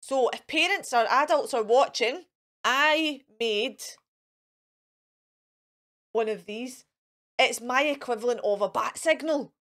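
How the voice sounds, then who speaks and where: A woman's voice: on the high side, slow pace, long pauses, fairly bright tone. A Scottish woman hosting a children's show in a chatty, encouraging way, with simple repeated phrases and questions to the young viewers. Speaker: children's storytime host. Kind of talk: chatty children's craft and activity presenting to camera.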